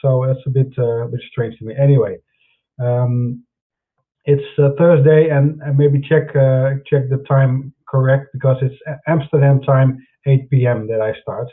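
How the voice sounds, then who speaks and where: A man's voice: mid-range voice, fairly even intonation, normal speed. A man with a Dutch accent presenting his websites and books in an online broadcast, speaking casually with many fillers and restarts. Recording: phone-like narrow sound.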